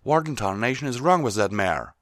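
A man's voice: fairly low pitch, fairly quick, a bit bright, no room sound, clean studio sound, voice pushed up, natural voice. A man voicing a plain-spoken, blunt cartoon farm pony mare. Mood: exasperated. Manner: folksy, blunt.

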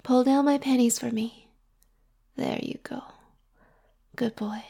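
A woman speaking in a soft, intimate whisper for an audio roleplay, slow and coaxing. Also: on the high side, fairly even intonation, gravelly, husky.

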